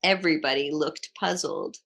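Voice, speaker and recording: A woman's voice: low-pitched, normal speed, gravelly, fairly bright tone. A woman hosting a storytime, reading a children's picture book aloud expressively. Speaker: storytime reader and host.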